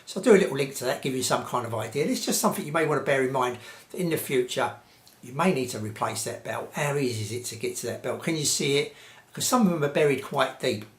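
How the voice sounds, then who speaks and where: A man's voice: medium pitch, lively intonation, fast talker. English man, casual tutorial, echoey room. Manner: chatty, rambling, colloquial. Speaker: presenter of hi-fi repair tips videos. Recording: roomy, echoey sound.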